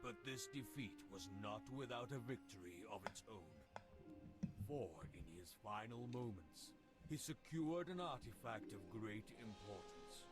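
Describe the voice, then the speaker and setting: A man playing a grave storyteller who narrates a fantasy game prologue in a measured, dramatic way. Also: fairly low pitch, animated. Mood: grave, portentous. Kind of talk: scripted narration. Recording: game audio under very loud music.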